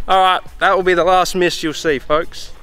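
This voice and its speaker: A young man co-hosting outdoors, teasing his mates and reacting loudly. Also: sits high, unhurried, soft background music. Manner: excitable, teasing, shouts reactions. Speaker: co-host. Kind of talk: casual banter. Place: outdoors at a tower site.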